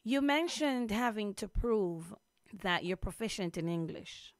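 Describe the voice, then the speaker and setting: A woman's voice: medium pitch, lively intonation, measured pace, dry recording, clean studio sound. A middle-aged woman from Jamaica, co-hosting a radio discussion and asking questions. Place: small radio studio indoors. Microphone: studio microphone on arm, headset.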